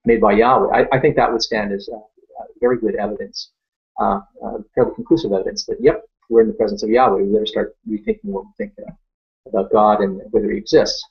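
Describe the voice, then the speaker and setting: A middle-aged French Canadian man, a native French speaker, hosting a live Q&A and answering viewer questions in a thoughtful, unhurried way. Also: lowish voice, lively intonation, vocal fry, highs cut off. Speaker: Q&A host.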